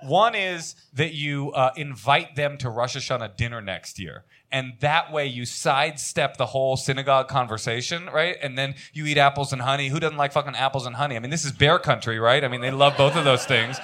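A man's voice: medium pitch, brisk, hardly any pauses, bright, forceful tone. A man co-hosting a live comedy podcast on stage, brash, crude and teasing with the guests. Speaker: podcast co-host.

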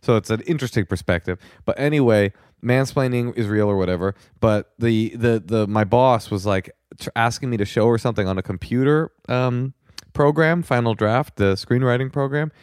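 A man's voice: medium pitch, moderate pace, a little mellow, clean tone, no room sound, studio quality. An American man co-hosting a comedy podcast, chatting casually in a wry, joking way. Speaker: podcast co-host.

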